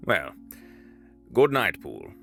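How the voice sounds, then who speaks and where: An actor playing a grave, troubled middle-aged gentleman lawyer in an audio drama, speaking formally and haltingly as he broods. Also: lowish voice, wildly swooping pitch, creaky, soft background music, full character voice. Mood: inquiring, reserved. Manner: brooding, halting, formal old-fashioned speech.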